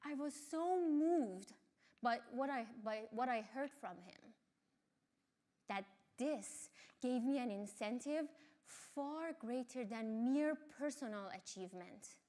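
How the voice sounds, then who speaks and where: A woman from Iran, a Farsi speaker, giving a motivational talk in a measured, earnest way. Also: fairly high pitch, expressive, warm tone.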